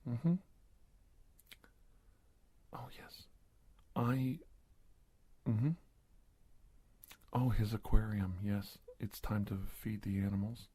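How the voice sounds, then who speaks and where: A man playing a polite, nervous middle-aged investigator in an ASMR roleplay, soft-spoken and halting, often slipping into a whisper. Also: no room sound, full character voice. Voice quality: husky, breathy, gravelly low voice. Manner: halting, hesitant, courteous, deliberate phrasing.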